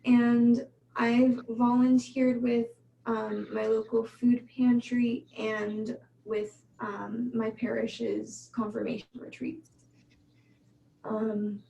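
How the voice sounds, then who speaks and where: A young woman from Massachusetts introducing herself and guiding the turns on a video call, unhurried and even. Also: a little high, gravelly, reverberant, recorded from a distance, muffled recording, slight background noise. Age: young adult. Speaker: student leading the orientation call.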